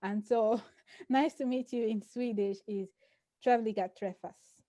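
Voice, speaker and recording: A woman's voice: a little high, fairly even intonation, unhurried, dark tone, no echo, studio quality. A woman hosting a casual group chat, teasing the others and laughing as she leads. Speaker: host.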